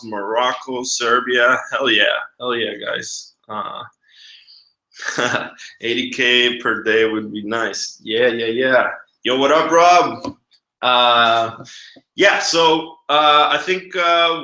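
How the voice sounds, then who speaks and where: A man's voice: mid-range voice, pushed and bright. A man in his thirties from Guatemala hosting a casual webinar, chatty and slangy in an informal way. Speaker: webinar host and marketing coach. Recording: echoey room.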